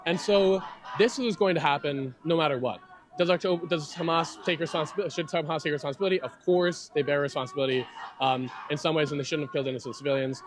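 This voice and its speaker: A man's voice: on the high side, fast, background noise. A man giving argumentative interview answers, often restarting his phrases.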